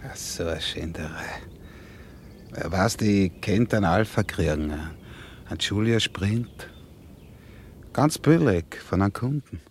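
An actor playing a gruff, grumbling small-time troublemaker in a satirical radio drama. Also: male voice, deep voice, expressive, slow pace, long pauses, slight background noise, heavily acted. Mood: casual, weary. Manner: gruff, cursing.

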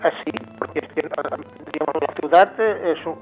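A man answers as a radio guest in a fairly high voice. He explains haltingly, repeating words as he searches for the next one, with faint music behind him. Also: slightly rough, narrow, tinny audio. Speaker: interview guest, agricultural engineer. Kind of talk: spontaneous interview answers. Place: remote call into a radio programme.

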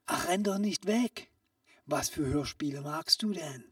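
A woman playing a sly, secretive street peddler in a comic audio play, coaxing in a hushed, conspiratorial way that often drops into whispers. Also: low voice, expressive, unhurried, dry recording, studio quality, distant mic, exaggerated voice.

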